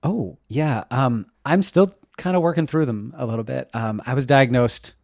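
A middle-aged man in a casual podcast conversation. He explains himself reflectively in a mid-pitched voice with a creaky edge. The sound is dry, with almost no room. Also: moderate pace, darkish tone, no echo. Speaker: interview guest, web developer and educator.

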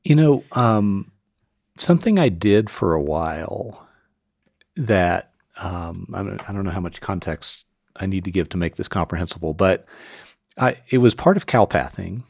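A man hosts a casual podcast in a low, raspy voice with plenty of fry. He tells things in an informal, expressive way, as conversation rather than script. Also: moderate pace, dry recording. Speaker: podcast host interviewing a guest.

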